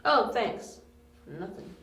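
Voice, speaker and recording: A woman's voice: mid-range voice, sing-song, slow pace, pushed and bright, exaggerated voice. A woman playing an earnest, loyal young male detective's partner in an amateur audio drama. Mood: polite. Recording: roomy amateur home recording.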